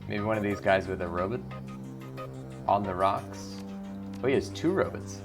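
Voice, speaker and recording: Guy narrating a video game playthrough, lowish voice, casual, music playing behind him. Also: moderate pace. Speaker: gaming video host. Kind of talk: casual game commentary with read-aloud narration.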